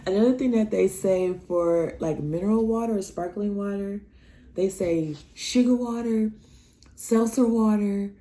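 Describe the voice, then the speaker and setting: A young woman from Nebraska telling personal stories in a casual vlog, acting out the dialogue with big jumps in her voice. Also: unhurried. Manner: animated, acts out dialogue with big pitch jumps. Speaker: vlogger.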